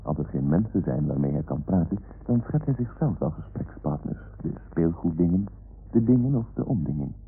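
Narrator: man, measured and literary, strongly modulated delivery over music, narrow vintage radio sound. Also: low voice, lively intonation, vocal fry. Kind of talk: poetic scripted introduction. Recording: tinny narrow-band old radio broadcast.

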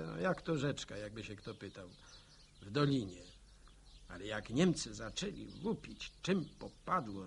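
A middle-aged war veteran from the village in a radio serial. He speaks in a folksy, matter-of-fact way. The voice is creaky and slightly raised in pitch. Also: slight background noise, full character voice. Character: plain-spoken village war veteran reminiscing. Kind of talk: radio drama acting.

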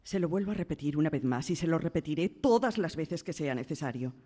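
Husky, indignant mother, reverberant. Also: deep voice, animated, quick pace, dark tone. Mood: firm, exasperated. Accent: Castilian Spanish. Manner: insistent, incredulous, cutting. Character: indignant, desperate mother of a sick daughter. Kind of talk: Spanish radio drama acting. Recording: long reverb, faint music.

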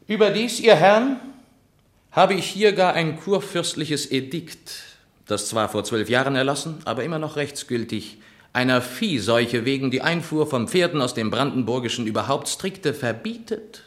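A man's voice: medium pitch, expressive, normal speed, close to an everyday voice. An actor playing an arrogant, scheming nobleman in a German radio drama, speaking in a rhetorical, emphatic way. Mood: haughty, triumphant. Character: arrogant, scheming young nobleman defending his kin.

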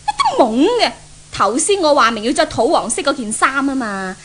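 A young, spoiled heiress of 1970s radio drama, haughty and quick to scold. Her voice is very high and piercingly bright, leaping wildly as she bosses people about in Hong Kong Cantonese. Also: sing-song, heavily acted. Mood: impatient, irritated. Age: young adult. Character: spoiled, tyrannical rich young mistress with a foul temper.

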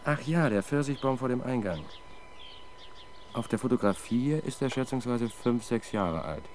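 A man's voice: mid-range voice, expressive, moderate pace, darkish tone, a little hiss or hum, lightly acted. An actor playing an observant, matter-of-fact male police sidekick, musing aloud in a 1970s German radio crime drama. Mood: curious, musing.

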